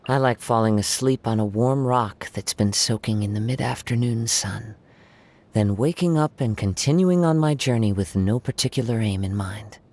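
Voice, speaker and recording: An actor voicing a calm young male wandering samurai poet in a game, speaking softly, gently and reflectively. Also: fairly low pitch, normal speed. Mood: gentle reflective. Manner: soft-spoken, gentle and reflective. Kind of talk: game character voice lines.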